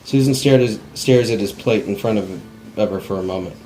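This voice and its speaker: A man's voice: fairly low pitch, normal speed, mellow, slight background noise, low-quality recording. A man narrating script directions in a plain, unpolished cold read, stumbling now and then. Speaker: narrator reading stage directions.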